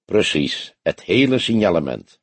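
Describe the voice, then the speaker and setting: Calm, weary old detective voiced by a male reader. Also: fairly low pitch, slightly rough, no room sound, full character voice. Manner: measured, pensive, terse questioning. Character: grey-haired veteran police detective, calm, brooding, weary.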